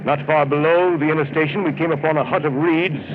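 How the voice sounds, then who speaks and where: An actor playing a brooding steamboat captain who narrates a jungle voyage in grave, measured 1930s radio drama style. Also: male voice, on the high side, steady tone, noisy background, natural voice. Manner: grave, measured, descriptive storytelling. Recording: tinny 1930s radio broadcast with music behind.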